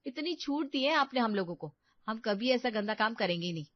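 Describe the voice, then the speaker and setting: A woman voicing a practical, talkative young-wife character in a household story, with an animated, protesting manner. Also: on the high side, a little fast, no echo, lo-fi sound, lightly acted. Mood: pleading. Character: practical, talkative elder daughter-in-law in a household.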